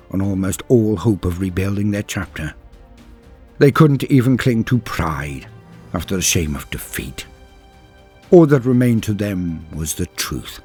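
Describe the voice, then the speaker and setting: A man narrates with a low, husky voice, grave and deliberate like a storyteller recounting dark lore. The delivery is scripted and dramatic, solemn throughout, with music playing underneath. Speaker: narrator and host of a lore channel.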